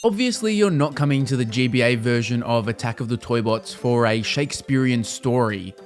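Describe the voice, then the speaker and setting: A man reading a scripted video game review in a measured, explanatory and opinionated way. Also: medium pitch. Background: music under the voice.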